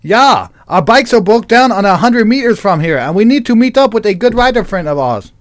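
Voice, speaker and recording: A man playing a gruff, sneering biker in an exaggerated, hammy comedy-script performance. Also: high voice, very animated, brisk, voice pushed up. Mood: loud, brash.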